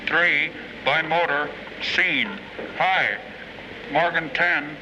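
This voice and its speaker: A man's voice: fairly high pitch, steady tone, extremely slow. A man relaying a clipped, formulaic alert report. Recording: noisy muffled 1940s newsreel audio.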